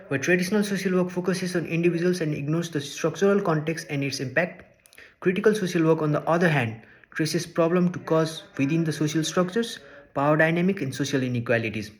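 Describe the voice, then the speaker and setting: Earnest young man, English speaker. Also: a little high. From Nepal. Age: young adult. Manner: measured and earnest, listing points one by one. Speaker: social work student. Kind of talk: reading a prepared class presentation.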